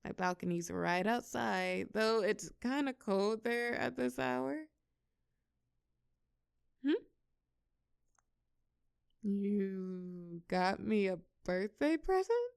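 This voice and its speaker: Woman acting a lively, bashful young birthday girl. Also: medium pitch, vocal fry, clear, resonant tone, no room sound, studio quality, heavily acted. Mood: curious, touched. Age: young adult. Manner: bashful, halting, giggly, expressive. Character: shy, awkward wallflower birthday girl, young adult, warmly teasing. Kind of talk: scripted audio roleplay, natural intimate conversational acting.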